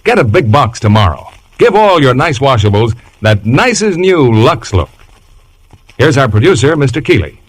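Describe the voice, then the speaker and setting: A man's voice: mid-range voice, very animated, moderate pace, rough recording. An American man announcing and selling a product in 1940s radio advertising style.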